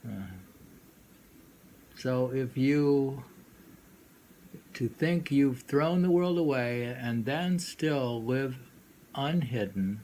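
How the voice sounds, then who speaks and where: Man, poetry reading with offhand remarks, very slow, gravelly, halting, repeated phrases, slightly noisy recording. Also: mid-range voice, mellow. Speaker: reader and host. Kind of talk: reading poetry aloud with casual commentary.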